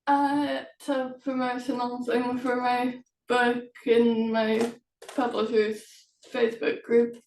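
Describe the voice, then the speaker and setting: A woman chatting casually as host of an online book promotion, hesitant and halting, often trailing off. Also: a little high, flat delivery, darkish tone, a little hiss or hum. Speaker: author hosting a promotional chat. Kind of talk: casual video chat. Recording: echoey room, distant from mic.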